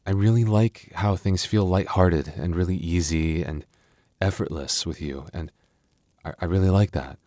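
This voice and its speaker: A man playing a gentle, sheepish young werewolf boyfriend in an intimate ASMR roleplay, speaking softly and hesitantly. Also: low voice, moderate pace, dry recording, lightly acted. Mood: sheepish, reassuring. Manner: soft, hesitant, stammering.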